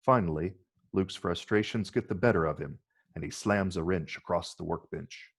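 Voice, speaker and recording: Low, gravelly male screenplay narrator. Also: moderate pace. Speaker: narrator reading stage directions.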